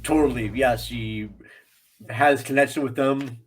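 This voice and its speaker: A man's voice: mid-range voice, fairly even intonation, unhurried, husky. A man talking casually and in a rambling, conversational way as a guest on a film podcast.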